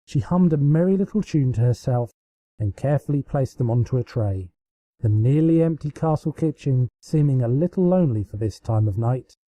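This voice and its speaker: A man reading a story aloud as an amateur narrator, with expressive scripted delivery. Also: medium pitch, normal speed, no echo, low-quality recording.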